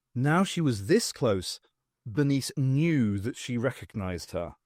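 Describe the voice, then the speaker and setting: A man reading an audiobook as narrator in a steady storytelling manner. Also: medium pitch, no echo, studio quality. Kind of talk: scripted narration.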